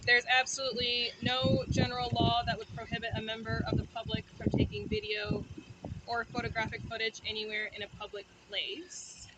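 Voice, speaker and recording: A woman's voice: fairly high pitch, flat delivery, fairly quick, dull audio. A woman with a Canadian accent, explaining things conversationally in a conciliatory, hedging manner. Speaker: police officer. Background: loud vehicle noise and clicks.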